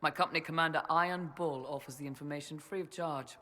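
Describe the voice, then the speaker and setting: Casting: loyal soldier-for-hire in a game, fairly high, forceful, plain-spoken, light background ambience. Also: male voice, fairly even intonation, fast talker. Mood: confident, businesslike. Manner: blunt, plain-spoken, businesslike. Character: brisk, confident, loyal young mercenary soldier. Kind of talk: video game voice acting. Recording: faint background ambient noise.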